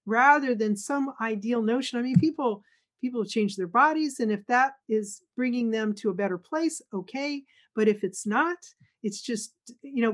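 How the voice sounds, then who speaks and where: Middle-aged woman guest, slightly high voice, emphatic and earnest. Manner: earnest, emphatic, acts out quotes. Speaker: guest speaker. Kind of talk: conversational interview.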